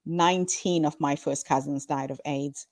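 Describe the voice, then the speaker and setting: A woman in her fifties from Zimbabwe speaks with a lowish voice, earnest and upbeat as she answers interview questions. The sound is dry and close, with no room around it. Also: fairly even intonation, moderate pace, no echo. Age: 50s. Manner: earnest, upbeat storytelling with warm laughs. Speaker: guest, founder of a women's story platform.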